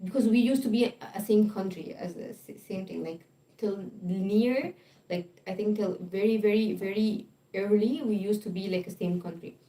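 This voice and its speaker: A woman from Egypt with an Egyptian Arabic accent, chatting on a livestream in halting, restarting sentences full of "like". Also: mid-range voice, mellow, distant mic. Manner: halting, restarts often, fillers of "like". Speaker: vlog co-host on a livestream. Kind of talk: casual livestream chat. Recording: roomy, away from the mic.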